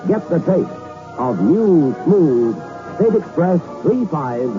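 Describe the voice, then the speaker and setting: A man reading a persuasive radio commercial in a smooth announcer style. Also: on the high side, sing-song, slow pace. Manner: smooth, persuasive salesman delivery. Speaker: advertising announcer. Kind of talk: upbeat cigarette radio commercial. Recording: dull 1960s radio commercial with loud music.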